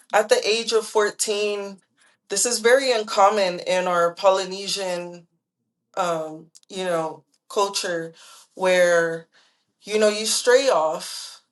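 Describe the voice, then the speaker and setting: A woman in her twenties telling her life story earnestly as an interview guest, with many pauses and fillers. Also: mid-range voice. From Southern California. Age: 20s.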